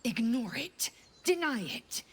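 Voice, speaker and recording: An actress playing a stern, guarded adventurer in a video game, speaking in emphatic short phrases. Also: female voice, on the high side, big pitch swings, takes it slowly, fairly bright tone, slight background noise, full character voice. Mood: urgent warning. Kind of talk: dramatic video game acting.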